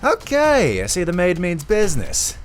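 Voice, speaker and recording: A man's voice: sits high, huge pitch swings, moderate pace, music in the background. A man playing a threatening, slangy kidnapper thug in a cartoon parody. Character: gruff male kidnapper demanding ransom.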